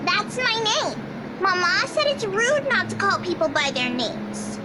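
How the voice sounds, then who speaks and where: A woman's voice: very high-pitched, big pitch swings, a little slow, heavy background noise, exaggerated voice. A woman voicing an innocent, curious, earnest little girl in a visual novel, plain-spoken and childlike, with music behind her. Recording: loud music behind the dialogue.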